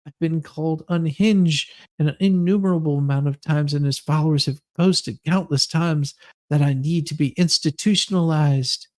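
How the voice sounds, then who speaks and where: A man's voice: a little high, normal speed, a little mellow, dry recording, lightly acted. A man voicing an aggrieved thirty-something woman in a theatrical, overwrought dramatic reading of her self-pitying post. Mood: wounded, indignant.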